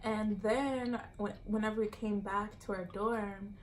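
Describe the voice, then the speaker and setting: A woman's voice: mid-range voice, low-quality recording. Young woman, roommate guest, storytime chat, laughs easily, faint hiss. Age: young adult. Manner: laughs easily, quick excited talk.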